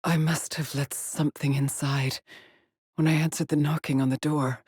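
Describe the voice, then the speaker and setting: An actress voicing a weary, driven middle-aged woman exploring space in a video game, with a husky, clipped and solemn delivery. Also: low-pitched, flat delivery, normal speed, a little mellow, dry recording, clean studio sound. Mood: haunted, brooding. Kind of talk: dramatic game voice lines, terse log entries.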